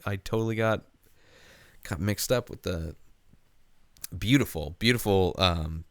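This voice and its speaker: Rambling low-voiced man on a podcast. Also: no room sound. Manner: rambling, halting, profane. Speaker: podcast host. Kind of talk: casual comedic podcast chat.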